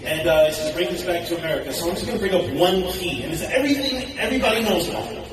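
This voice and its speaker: A man's voice: sits high, racing, a crowd in the background. A man giving an enthusiastic, conversational conference speech, often posing questions to the audience. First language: English. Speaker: conference speaker. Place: large hall. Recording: echoey hall recorded from a distance, audible noise.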